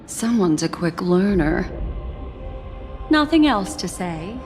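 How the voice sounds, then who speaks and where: A cool, skeptical video game woman, speaks dryly at a slow pace. Music is clear behind her. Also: fairly low pitch, very animated, a little mellow, full character voice.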